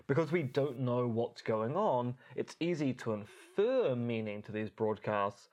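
A man's voice: medium pitch, expressive, a little slow, darkish tone. A man co-hosting a podcast, giving commentary in a wry, articulate way with rather formal phrasing. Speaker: podcast co-host.

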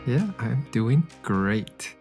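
A man's voice: medium pitch, very slow, a little mellow, faint music behind. A man from Canada hosting a podcast, upbeat and curious as he asks his guest questions. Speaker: podcast host. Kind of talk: casual interview chat.

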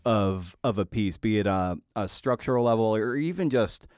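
A man's voice: fairly low pitch, normal speed, a little mellow, no room sound, studio quality. A man hosting a podcast in a rambling, casual way, with a wry, self-deprecating, digressive manner. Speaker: podcast host and interviewer.

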